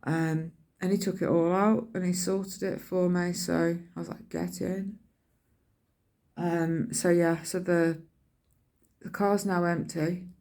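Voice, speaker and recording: A woman's voice: on the low side, flat delivery, slow pace, dark tone. A middle-aged British woman chatting casually in a rambling vlog, telling everyday stories in colloquial language and acting out what people said. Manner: chatty, colloquial storytelling with acted-out quotes. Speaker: vlogger.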